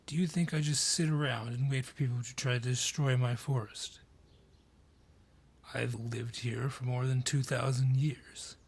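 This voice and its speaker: A man voicing an ancient, gruff dryad forest guardian in a fantasy audio drama, husky, brusque and ominous in delivery. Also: mid-range voice, steady tone. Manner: deliberate, brusque, ominous storyteller. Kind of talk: fantasy audio roleplay acting.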